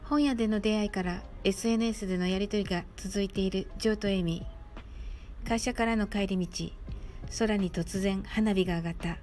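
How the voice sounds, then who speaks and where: A woman's voice: mid-range voice, fairly even intonation, normal speed, darkish tone, soft background music. A woman reading scripted narration for a radio drama.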